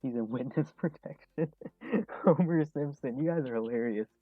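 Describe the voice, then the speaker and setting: A man's voice: medium pitch, normal speed, no room sound, phone-quality sound, soft background music. Young man streaming stock trades, thin sound. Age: 20s. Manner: relaxed, chuckles often, many fillers. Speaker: stock trading livestream host. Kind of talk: casual livestream chat reading viewer questions.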